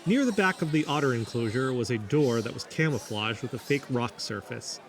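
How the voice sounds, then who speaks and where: A man playing an earnest amateur investigator, narrating a podcast drama in a measured storytelling manner. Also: mid-range voice, expressive, lightly acted. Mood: intrigued. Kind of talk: scripted first-person narration.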